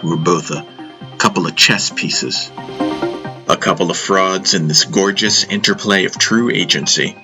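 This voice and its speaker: A man's voice: deep voice, unhurried, music in the background. A man reading scripted first-person fiction as an audiobook narrator.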